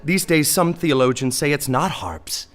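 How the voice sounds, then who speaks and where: A man's voice: on the high side, normal speed, close to an everyday voice. A man playing a mild, earnest, naively optimistic friar saint, speaking politely and reasonably in a comic audio play. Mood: earnest explaining.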